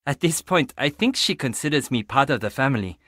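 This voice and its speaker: An actor playing a geeky, awkward, friendly young tech tinkerer in a video game, rambling and self-deprecating. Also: male voice, medium pitch, animated, fairly quick, fairly bright tone, no room sound, studio quality. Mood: casual, wry. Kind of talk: video game character dialogue.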